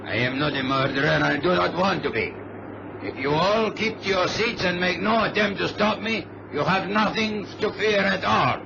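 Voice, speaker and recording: A man's voice: high-pitched, moderate pace, creaky. A man playing a polite but menacing hijacker in a radio drama. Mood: polite menace. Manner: courteous, coolly threatening. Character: polite, menacing Latin male hijacker with a gun. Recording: noisy, dull vintage radio recording.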